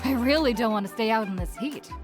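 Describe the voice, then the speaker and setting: Chatty female villager, acted by a woman, highish voice, expressive, video game character, clear background music. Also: quick pace, close to an everyday voice. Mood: friendly.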